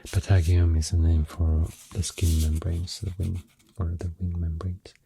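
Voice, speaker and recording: Man, Swedish accent, soft-spoken ASMR, very husky near-whisper, unhurried, hesitant, soothing. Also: sits low, little pitch movement, dry recording. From Sweden. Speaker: ASMR host.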